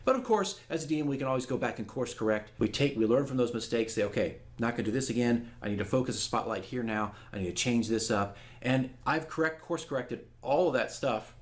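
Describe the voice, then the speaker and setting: A man's voice: medium pitch, a little fast, few pauses. A man speaking candidly and casually to viewers as a dungeon master, earnest and self-critical in a conversational way. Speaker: dungeon master giving a campaign diary.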